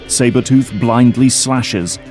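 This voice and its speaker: A man's voice: medium pitch, slow, darkish tone. A man giving dramatic scripted narration for an audio drama. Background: dramatic music.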